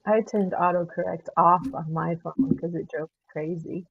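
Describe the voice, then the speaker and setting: A woman's voice: on the low side, fairly even intonation, normal speed, vocal fry, breathy edge. Stuffed-up woman on a dull lo-fi line. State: stuffed up nose. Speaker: guest Disney hotel expert. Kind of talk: casual chat.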